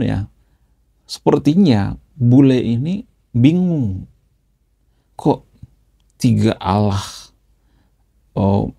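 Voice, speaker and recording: A man's voice: on the low side, creaky, mellow, breathy edge, no echo, clean studio sound. A man hosting his own channel, talking through a topic casually and tagging "ya" onto nearly every phrase. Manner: chatty, adds "ya" after nearly every phrase. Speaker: YouTube channel host. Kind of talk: casual commentary.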